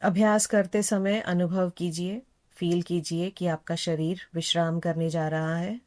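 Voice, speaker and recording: Low-pitched woman guiding meditation, calm and unhurried. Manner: calm, unhurried, soothing, with repeated phrases. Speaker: yoga nidra instructor. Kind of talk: slow guided meditation instruction.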